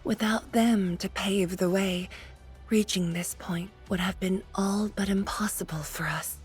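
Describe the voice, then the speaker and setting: A woman voicing a calm, dignified, prudent commander in a video game, speaking formally and with measure. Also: mid-range voice, unhurried, husky, faint music behind. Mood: solemn, resolute.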